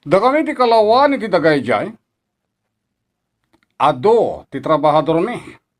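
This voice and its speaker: An actor with an Ilocano accent playing a confident, persuasive young farm-bred boyfriend in a radio drama. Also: male voice, fairly high pitch, sing-song, unhurried. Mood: persuasive, decided.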